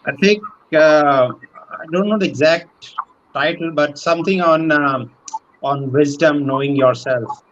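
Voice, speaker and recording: A man giving tentative, halting answers as a panelist. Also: a little high, a bit bright. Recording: muffled, noisy online call.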